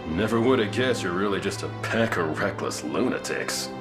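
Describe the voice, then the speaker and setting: Cocky, low-pitched mercenary. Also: male voice, animated, moderate pace. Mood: mocking. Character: cocky, mocking male mercenary for hire. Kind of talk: video game voice acting. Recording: game music clearly behind.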